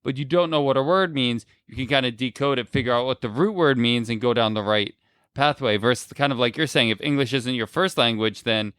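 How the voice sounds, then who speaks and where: Male podcast co-host, smooth mid-range voice, very dry recording, casual explanatory conversation. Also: mid-range voice, brisk, a bit bright, no echo.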